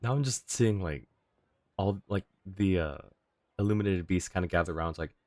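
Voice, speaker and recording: A livestream host giving casual, animated game commentary with joking asides, close on the mic. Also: low-pitched, a little fast, no echo. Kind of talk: casual game stream commentary and read-aloud.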